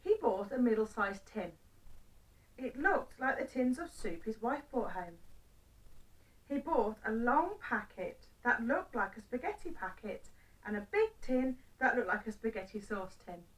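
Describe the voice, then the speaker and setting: A woman storyteller reading a children's story aloud in simple phrases with deliberate pauses. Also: fairly high pitch, big pitch swings, recorded from a distance, rough recording.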